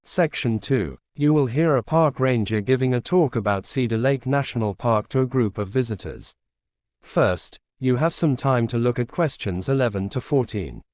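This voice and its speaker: A man's voice: mid-range voice, normal speed, darkish tone, no room sound. A man reading scripted listening-test instructions as an exam announcer.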